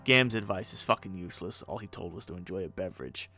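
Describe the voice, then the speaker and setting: A grumpy teenage troll leader, bossy and ranting, swearing in exasperation at everyone. A man acts him out in a bright, tense voice, with faint music in the background. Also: sits low, quick pace, dry recording, pitched below the performer's own voice. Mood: exasperated.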